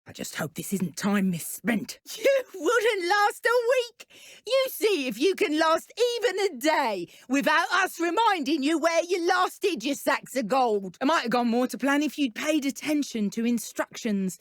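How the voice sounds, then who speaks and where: A woman with a British accent playing a haughty, mocking dark witch villain in a video game. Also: high voice, big pitch swings, measured pace, no echo, clean studio sound. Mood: musing, uneasy, then scolding, exasperated. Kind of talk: video game combat voice lines.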